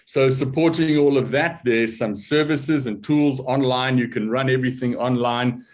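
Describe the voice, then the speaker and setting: Older South African male academic; relaxed, joking lecture voice. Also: medium pitch, moderate pace. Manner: wry, self-deprecating humor; loose, conversational delivery with restarts. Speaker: academic speaker. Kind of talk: conference talk.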